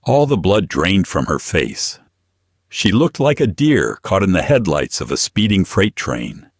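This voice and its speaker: Male audiobook narrator, very low voice, expressive melody, dramatic scripted read, dry studio-like sound. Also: a little slow, slightly rough, no room sound.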